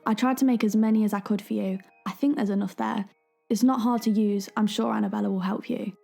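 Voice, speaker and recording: A young woman acting as a loving, earnest daughter in an audio drama, speaking a gentle, heartfelt letter to her father. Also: mid-range voice, steady tone, fast talker, creaky, soft background music. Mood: tender, explaining.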